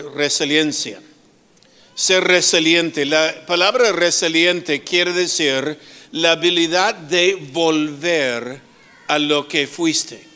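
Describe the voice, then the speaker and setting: A middle-aged man preaching as a guest speaker, slow and full of pauses and restarts, with humorous asides. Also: a little high, sharp tone, clear, resonant tone. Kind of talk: sermon.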